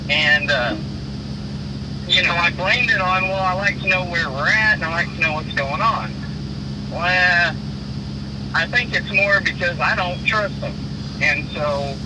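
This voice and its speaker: Higher-voiced young man over heavy vehicle noise. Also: unhurried, long pauses. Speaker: rookie truck driver, interviewee. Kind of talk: phone interview, casual storytelling. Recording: phone call, loud vehicle road noise.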